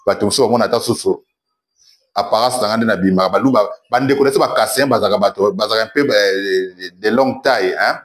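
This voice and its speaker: A man's voice: lowish voice, moderate pace. A man with a Congolese accent hosting an online commentary show, speaking in a declamatory way with emphatic repetition. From Democratic Republic of the Congo. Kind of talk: animated political commentary to camera, mixing French and Lingala.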